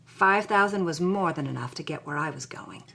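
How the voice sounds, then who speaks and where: A cool, defiant 1950s dame, tells her story with wry, noir-style bite. Her voice is bright and crisp-edged, made for audio drama. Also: deep voice, animated, moderate pace, exaggerated voice. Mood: scornful, amused. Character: sassy, hard-boiled 1950s bombshell woman, cool and defiant.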